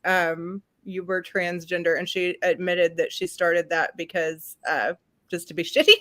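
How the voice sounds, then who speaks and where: A woman hosting a live stream recap, excitable, cutting in to steer the talk back on topic. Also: lowish voice, a little slow.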